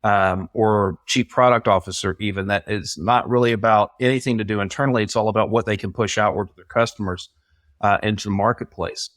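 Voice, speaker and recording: A man's voice: lowish voice, steady tone, brisk, fairly bright tone, no room sound. Rambling male interview host, dry sound. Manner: rambling, conversational questions. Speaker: podcast host. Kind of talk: casual interview.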